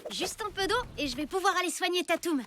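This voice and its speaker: Very high-pitched plucky little cartoon boy. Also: lively intonation, fast talker, sharp tone, a little hiss or hum, heavily acted. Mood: cheeky, determined. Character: plucky little prehistoric boy, loyal and cheeky. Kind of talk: French cartoon voice acting.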